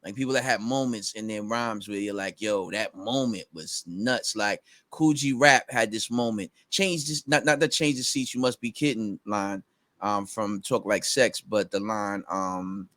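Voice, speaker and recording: A man's voice: fairly low pitch, measured pace, a bit bright. A man in casual conversation, talking with many fillers and restarts and breaking into recited rap lines. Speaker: rapper guest.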